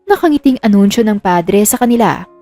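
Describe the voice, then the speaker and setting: A woman's voice: mid-range voice, expressive, fairly quick, warm tone, dry recording. A woman reading scripted narration of a romantic drama as the storyteller. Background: music.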